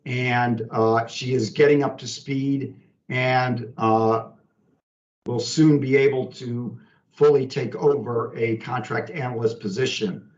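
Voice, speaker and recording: A man making staff announcements on a work conference call in a halting, deliberate way. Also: mid-range voice, steady tone. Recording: echoey, distant, dull conference call.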